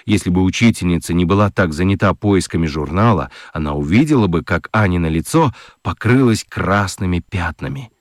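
Lively low-pitched male narrator. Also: brisk, hardly any pauses, dry recording, studio quality. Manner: expressive storytelling. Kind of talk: dramatic scripted narration for children's radio.

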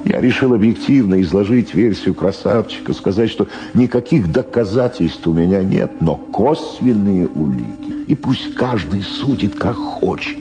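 An actor playing a troubled middle-aged prosecutor in a radio drama monologue, questioning himself and justifying his compromises with dramatic pauses. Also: lowish voice, lively intonation, music in the background, natural voice. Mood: self-justifying. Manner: brooding, rhetorical self-questioning, dramatic pauses.

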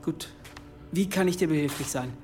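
Man acting high-voiced, hesitant young novice monk. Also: normal speed, a little mellow, soft background music, natural voice. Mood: meek. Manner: hesitant, stammering, evasive. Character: timid, flustered young novice monk assistant. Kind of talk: German radio play acting.

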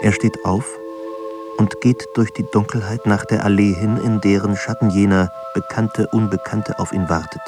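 A man narrating a literary radio drama in a measured, solemn way, pausing between phrases. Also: on the low side, fairly even intonation. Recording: studio narration over clear music. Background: music.

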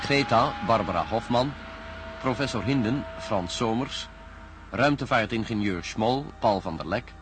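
A male announcer reading the cast credits in a measured, formal way. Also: fairly low pitch, lively intonation, over music. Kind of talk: reading credits over music.

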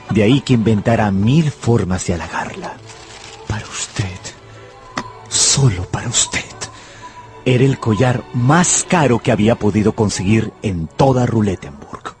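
Lovestruck gambler telling his story, really animated dramatic radio play delivery, music under it. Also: lowish voice, a little slow. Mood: enamored. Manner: dramatic, fervent, literary storytelling. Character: passionate male gambler recounting his obsessive love and luck. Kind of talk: radio drama narration and dialogue.

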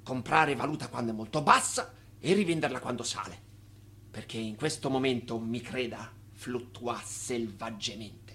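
An actor playing an assured banker in a radio drama, with a declarative, persuasive manner. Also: male voice, medium pitch, moderate pace, pushed and bright, slight background noise. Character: assured banker explaining currency speculation. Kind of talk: theatrical radio drama acting.

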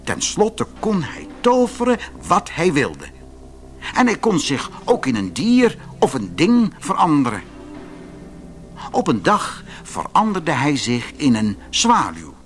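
A man reading a fairy tale for children in an expressive narrating style. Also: fairly high pitch, slow pace, faint music behind.

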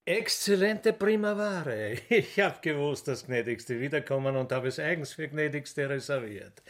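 A man's voice: fairly high pitch, expressive, normal speed, close to an everyday voice. A man playing a smarmy, buttery-voiced Austrian salesman. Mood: fawning. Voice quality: unctuous, buttery. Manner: gushing, servile, insinuating, with lingering emphasis. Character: smarmy, flattering male furniture salesman wooing a customer. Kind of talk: radio play acting.

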